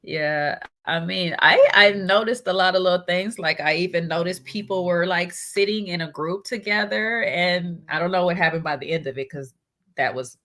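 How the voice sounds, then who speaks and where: A woman's voice: lowish voice, moderate pace, a bit bright. A woman with a stuffy nose from allergies hosts a casual livestream chat, talking in slangy, chatty bursts and laughing often. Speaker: host of a reality-show recap livestream.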